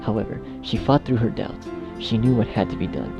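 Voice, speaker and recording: A man narrating a dark fan fiction story as a scripted reading. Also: on the low side, very animated, brisk, creaky, lo-fi sound, over music.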